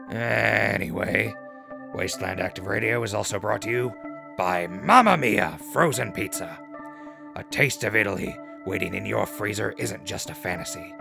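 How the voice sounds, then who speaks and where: A wry wasteland broadcaster played by a man talks in dry radio patter. His voice is low and heavily gravelled, with clear music behind it. The style is audio drama. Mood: salesman patter. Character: wry, sardonic male wasteland radio host.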